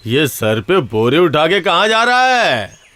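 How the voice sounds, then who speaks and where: A man playing a greedy, scheming brother in a folktale cartoon, with an exaggerated, gloating, drawn-out delivery. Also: on the high side, big pitch swings, pushed and bright, heavily acted. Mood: smug, mocking. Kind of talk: cartoon voice acting.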